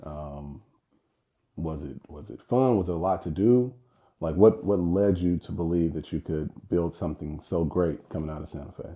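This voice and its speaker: A man's voice: low-pitched, moderate pace, mellow. A man hosting a podcast and interviewing his fiancée, with an upbeat manner. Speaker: podcast host.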